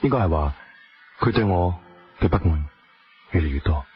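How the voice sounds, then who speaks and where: An actor with a Hong Kong accent playing a weary, wounded estranged husband who drives a taxi, in a radio drama. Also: male voice, sits low, expressive, normal speed, faint music behind. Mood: reflective, rueful. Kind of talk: radio drama acting, with dialogue and reflective narration. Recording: dull lo-fi radio drama audio.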